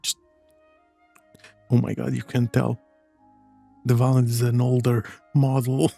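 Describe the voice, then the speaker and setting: A streamer giving casual, halting reactions to a game in muttered asides. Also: medium pitch, completely flat delivery, creaky, warm tone, soft background music.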